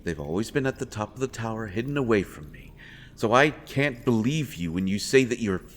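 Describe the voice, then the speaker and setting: A man playing an earnest, protective royal interrogator secretly helping a prisoner in a fantasy audio roleplay, speaking haltingly and with urgency. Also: on the low side, expressive, a little hiss or hum, close to an everyday voice. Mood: stern, frustrated. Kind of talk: dramatic fantasy audio roleplay addressed to the listener.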